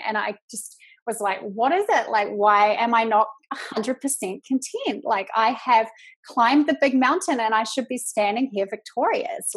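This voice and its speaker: A woman's voice: on the high side, breathy edge. A woman in her thirties from New Zealand, with a New Zealand accent, talking reflectively and chattily as a podcast guest, with many fillers. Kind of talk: casual podcast conversation.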